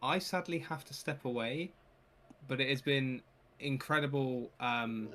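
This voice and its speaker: A man with a medium-pitched, bright and forceful voice chats casually. His delivery is halting as he talks among a group. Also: a little hiss or hum. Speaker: panelist. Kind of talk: casual chat.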